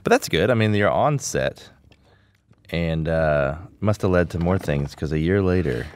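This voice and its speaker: Deep-voiced jokey podcast host. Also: male voice, expressive, unhurried, darkish tone, a little hiss or hum. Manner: jokey, riffs with playful put-on bits. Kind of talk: casual podcast interview.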